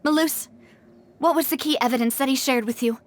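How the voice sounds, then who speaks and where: A woman's voice: sits high, a little fast, a little hiss or hum, natural voice. An actress playing a proud, wounded young noblewoman in a video game, refined and emotionally restrained. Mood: reflective, resigned. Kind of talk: dramatic video game cutscene acting.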